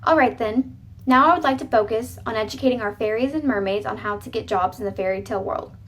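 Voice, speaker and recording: A woman's voice: fairly high pitch, fast, a bit bright, a little hiss or hum. A young woman moderating a scripted mock debate in a formal, polite manner.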